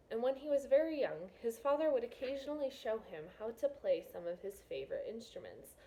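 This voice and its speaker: A woman's voice: mid-range voice, warm tone, slight background noise, poor audio. A woman teacher reading a novel aloud to students, with casual, encouraging asides. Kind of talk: read-aloud of a children's novel with explanatory asides.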